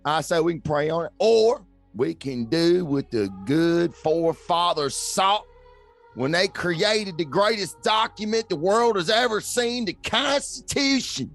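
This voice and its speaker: A man's voice: high voice, lively intonation, measured pace, creaky, a bit bright, faint music behind, exaggerated voice. A man playing a folksy, ranting backwoods preacher with a Southern American accent, giving a fiery parody sermon. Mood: fervent, rallying.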